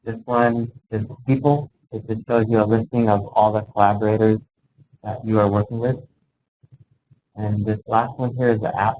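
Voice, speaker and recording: A man with a fairly low voice leads an informal workplace training session. His delivery is very even and unanimated as he explains things plainly, one step at a time. There is some faint noise behind him in the live recording. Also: normal speed, low-quality recording. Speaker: presenter of a workplace software training. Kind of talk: informal instructional presentation to a group.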